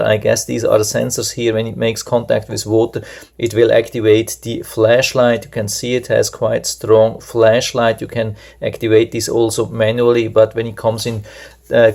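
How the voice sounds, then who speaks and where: Guy doing a hands-on gadget review, kinda monotone with vocal fry, explains stuff and repeats himself. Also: medium pitch. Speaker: product reviewer. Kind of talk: unscripted hands-on product demonstration.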